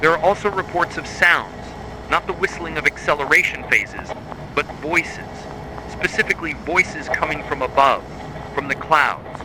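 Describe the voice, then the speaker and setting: A man reading a scripted horror narration styled as an official emergency bulletin, in a grave, measured, authoritative way. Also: fairly high pitch, animated, noisy background. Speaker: narrator of a mock emergency broadcast.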